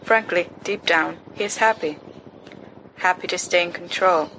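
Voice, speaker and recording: A woman reading fan fiction aloud as an amateur narrator, in an expressive storytelling style. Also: fairly low pitch, moderate pace. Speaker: volunteer audiobook reader. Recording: noisy amateur home recording.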